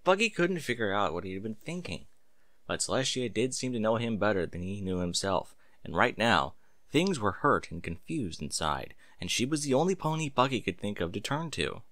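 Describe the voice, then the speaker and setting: Male narrator, lowish voice, animated intonation, dramatic scripted storytelling, emphatic phrasing. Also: normal speed, no room sound. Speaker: narrator reading fan fiction aloud.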